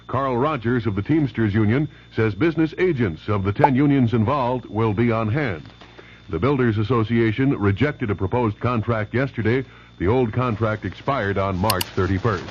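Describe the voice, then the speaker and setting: A man with an American accent reading a scripted local news and weather bulletin on the radio. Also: mid-range voice. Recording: dull, noisy old radio broadcast recording.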